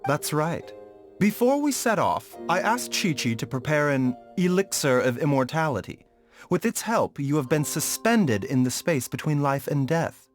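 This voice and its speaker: A man playing a calm, courteous, clinically curious doctor with a measured, formal, gently wry manner in a video game. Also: on the high side, soft background music. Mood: composed, curious.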